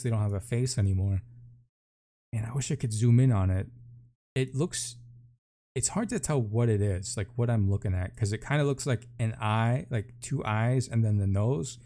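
Laid back sounding guy streamer, low mellow voice, chatty and jokey game commentary. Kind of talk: casual first-look game commentary.

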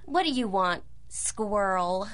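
A woman's voice: medium pitch, animated, slow, fairly bright tone. An actress playing a cynical, mocking female supervillain inmate in a 1930s-style radio drama. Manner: sneering, sardonic, tough.

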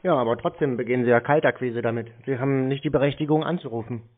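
A man's voice: medium pitch, fast talker. Blunt man on a narrow phone line. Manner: blunt, matter-of-fact, unyielding. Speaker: called party challenging telemarketers. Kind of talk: unscripted phone conversation.

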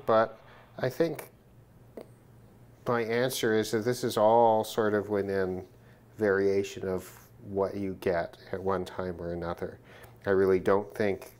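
A man giving an informal hands-on gear demonstration, thinking aloud in a measured way as he goes. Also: low-pitched, a little mellow. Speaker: hobbyist demonstrating a shortwave radio test. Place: indoors at a work desk.

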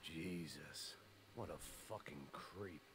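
An actor playing a jaded, middle-aged action game antihero, narrating in a deadpan, sardonic hardboiled style. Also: male voice, very deep voice, slow, breathy edge, exaggerated voice. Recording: game ambience noise under the voice.

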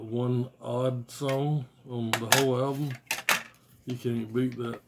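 An American man in his forties chatting casually and unscripted to camera as a music fan, blunt and slangy with swearing, recorded at home on a handheld-distance device. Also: mid-range voice. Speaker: music fan reviewing albums on video. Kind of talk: casual unscripted vlog chat. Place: small room at home. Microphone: phone or tablet at arm's length. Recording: noisy phone recording with clicks.

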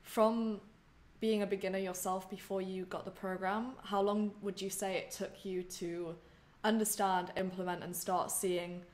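A woman's voice: medium pitch, flat delivery, measured pace, darkish tone. A young woman hosting an interview over a video call, asking long, rambling questions. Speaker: host. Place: room at home. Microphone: headphones on video call.

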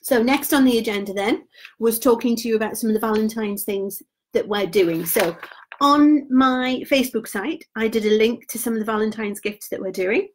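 A woman, chatting casually on a live stream about gift sets, her voice going hoarse. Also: on the high side, moderate pace, a little hiss or hum. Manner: chatty and informal, trails off quietly. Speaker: beauty product consultant.

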